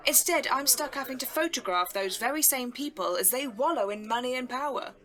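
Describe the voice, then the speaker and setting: An envious, self-doubting British woman photographer in an audio drama. She sounds earnest and grumbling, with a fairly high, bright, tense voice. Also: lively intonation, a little fast. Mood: indignant, envious.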